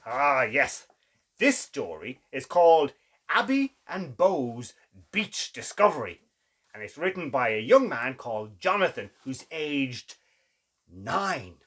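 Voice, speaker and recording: A man hosting and reading children's stories aloud in a theatrical, exclamatory way with dramatic pauses. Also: medium pitch, big pitch swings, fairly bright tone, slightly rough. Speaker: children's story reader, show host. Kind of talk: animated children's storytelling.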